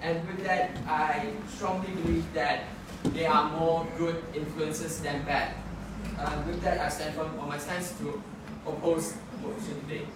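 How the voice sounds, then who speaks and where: A man's voice: a little high, little pitch movement, measured pace. A young man giving an earnest, argumentative debate speech, often stopping to correct himself. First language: not English. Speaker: student debater. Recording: echoey room picked up from far off, background noise.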